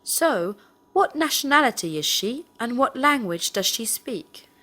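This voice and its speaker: A woman's voice: a little high, very animated, measured pace, bright, forceful tone. A woman in the role of a language teacher, reading scripted questions with careful phrasing. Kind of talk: scripted question drill, read aloud.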